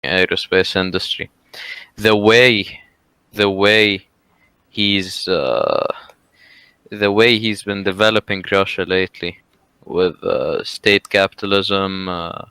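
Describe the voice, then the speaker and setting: Brief: lower-voiced man with a Lebanese accent, bright and very clean sound, halting debater. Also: fairly even intonation, clean tone, dry recording. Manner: halting, restarts with fillers. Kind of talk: online voice-call debate.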